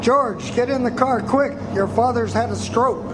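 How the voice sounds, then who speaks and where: An actor playing a flustered older uncle calling out urgently in a radio play. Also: male voice, very high voice, measured pace, background noise.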